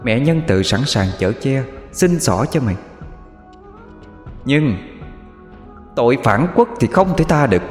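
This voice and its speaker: A man's voice: mid-range voice, very animated, unhurried, long pauses, voice pushed up. A man voicing a stern, wrathful mother goddess who commands and scolds her spirits. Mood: scolding. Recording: reverb with background music.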